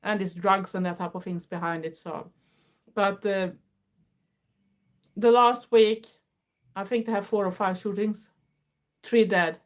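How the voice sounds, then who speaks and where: A Swedish woman in her fifties chats with viewers in English. Her Swedish accent is clear, and her voice sits at an everyday middle pitch. She talks earnestly and unhurriedly, with small slips in her grammar. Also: steady tone, unhurried, long pauses. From Sweden. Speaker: livestream host. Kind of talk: casual chat.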